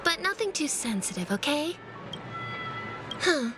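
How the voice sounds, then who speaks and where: High-voiced, guarded young woman. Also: very animated, measured pace. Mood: guarded, curious. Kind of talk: video game voice acting. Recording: game music behind.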